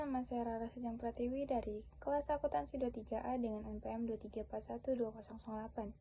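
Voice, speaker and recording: A woman's voice: on the high side, warm tone, a little hiss or hum. A young woman giving a scripted student presentation, reciting formally point by point. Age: young adult.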